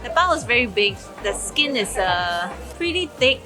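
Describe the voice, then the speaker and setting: A young Singaporean woman talks her way through a food tour, her voice sitting a little high and bright. She is chatty and eager, breaking into small laughs between bites. A music bed runs under her voice. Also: very animated, moderate pace. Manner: chatty, enthusiastic tasting remarks with frequent laughs. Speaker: travel vlogger. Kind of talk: casual food vlog commentary.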